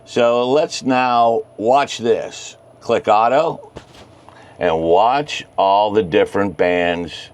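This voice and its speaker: A man leads an informal how-to in a very slow, patient delivery. His voice sits a little low and sounds smooth and clear. He comes across as an encouraging hobbyist who is keen on his subject. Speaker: amateur radio hobbyist presenter. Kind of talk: informal screen-share tutorial.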